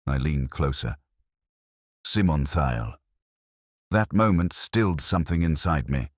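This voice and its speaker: A man playing a weary, wry older police inspector, narrating a literary audio drama in a measured, understated, reflective way. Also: extremely low pitch, animated, dry recording, studio quality, exaggerated voice. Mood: wary, reflective.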